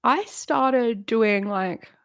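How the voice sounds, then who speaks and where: A woman's voice: a little high, drawn-out words, no room sound, clean studio sound. A woman in her late thirties chatting casually on a live stream, rambling and candid, swearing freely and laughing often. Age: late 30s.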